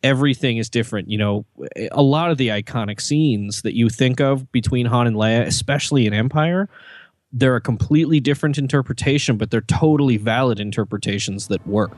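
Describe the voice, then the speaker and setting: A man's voice: medium pitch, a little fast, no echo. Man in casual, dry-recorded podcast chat. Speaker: podcast speaker.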